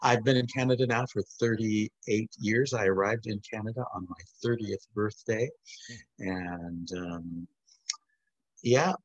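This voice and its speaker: Husky older man, chatty. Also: lowish voice, fairly even intonation, a little slow, dry recording, muffled recording. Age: 60s. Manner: chatty, rambling, with restarts. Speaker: musician and alumnus. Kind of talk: casual video call chat. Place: home. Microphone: video call.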